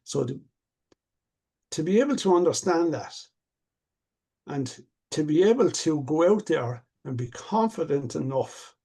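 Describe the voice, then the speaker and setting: Older guy, gravelly husky voice, kinda dark tone, talks slow and earnest like a coach. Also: mid-range voice. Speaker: stuttering coach. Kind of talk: casual conversation.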